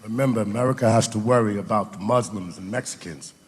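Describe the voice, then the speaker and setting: A man's voice: on the low side, quick pace, husky. A man playing a cruel, cocky talking bullet in an audio drama. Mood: callous, mocking. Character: cruel gloating male bullet, cocky and callous.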